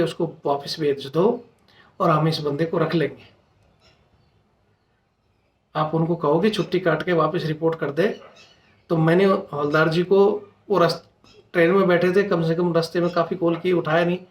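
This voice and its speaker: A man, a channel host making an earnest, insistent informal appeal to his followers. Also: a little high. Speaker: retired soldier speaking as channel host. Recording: echoey room sound.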